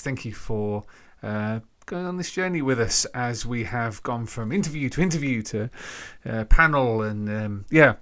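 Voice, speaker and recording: A man hosting a podcast, giving an informal introduction in a halting way, thinking aloud between phrases. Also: mid-range voice, a bit bright. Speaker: podcast host.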